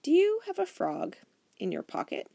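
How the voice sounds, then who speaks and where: A woman's voice: very high voice, huge pitch swings, moderate pace, creaky, warm tone, dry recording, studio quality, voice pushed up, heavily acted. A woman voicing a patient, indulgent mother in a children's audiobook, composed and polite.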